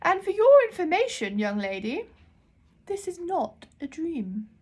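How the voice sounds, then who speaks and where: A booming, high-pitched imperious librarian. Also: female voice, sing-song, a little slow, darkish tone, heavily acted. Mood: grand, instructive. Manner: brusque, haughty, slightly hard of hearing. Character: loud, imperious, eccentric older woman librarian of magic.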